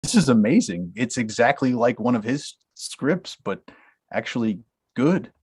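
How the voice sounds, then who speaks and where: An actor at a table read playing a young talent manager with a dry, deadpan sense of humor. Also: male voice, mid-range voice, a little slow, breathy edge. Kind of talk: table read of a comedy script.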